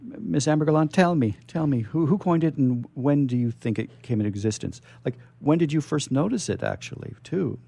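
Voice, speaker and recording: A man hosting a radio interview in a chatty, playful, theatrical way, halting and repeating his words as he goes. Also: a little high, vocal fry, warm tone. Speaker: radio show host. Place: radio station studio.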